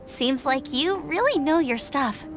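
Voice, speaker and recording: An actress playing a perky, earnest young girl adventurer in a video game, with an informal, expressive delivery. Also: sits high, a little slow. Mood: chatty, admiring. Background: game music.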